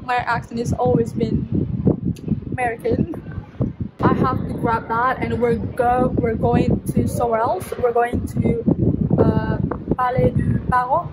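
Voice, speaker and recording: A woman's voice: fairly high pitch, unhurried. A young woman vlogging outdoors on a phone at arm's length, chatting casually and laughing at herself, with a stuffy runny nose from pollen. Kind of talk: casual vlog chat to camera. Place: outdoors in a sunny city park and streets. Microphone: phone held at arm's length. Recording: loud outdoor street noise.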